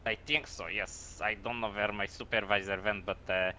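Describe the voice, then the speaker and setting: Man putting on a sharp-voiced aggrieved Russian suspect. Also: lowish voice, fairly even intonation, moderate pace. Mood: indignant pleading. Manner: drops articles, broken English, pleading and blunt. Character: aggrieved Russian male suspect in handcuffs, grumbling excuses. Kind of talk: improvised online game roleplay. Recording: muffled game voice chat, noisy.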